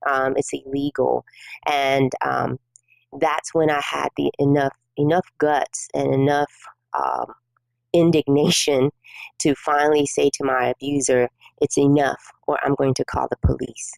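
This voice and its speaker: A middle-aged woman from South Korea, a native Korean speaker, sharing her personal testimony as a guest in an interview, speaking softly with many pauses. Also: extremely low pitch, little pitch movement, vocal fry, no echo, low-quality recording. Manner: soft-spoken and measured, many pauses and fillers. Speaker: guest minister.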